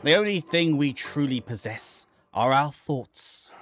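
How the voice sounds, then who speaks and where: A man's voice: on the high side, lively intonation, fairly bright tone, faint music behind. A man voicing an anguished, brooding man who fled into the wilderness, read dramatically from a horror visual novel. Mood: grave. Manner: dramatic, literary, deliberate.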